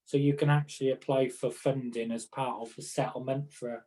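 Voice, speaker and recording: A middle-aged man with a Welsh accent and a mellow, relaxed voice at an average pitch. He talks informally, like an experienced therapist advising colleagues, explaining things chattily through everyday examples. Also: a little fast. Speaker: occupational therapist and conference speaker. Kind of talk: informal advice talk to fellow professionals.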